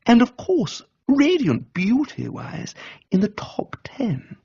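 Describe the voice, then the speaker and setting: A man voicing an amiable, foppish young gentleman with an upper-class English accent, chirpy and easily flustered, in a comic reading. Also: very high-pitched, wildly swooping pitch, takes it slowly, creaky, breathy edge, highs cut off, voice pushed up, full character voice. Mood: approving. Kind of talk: dramatised comic audiobook reading.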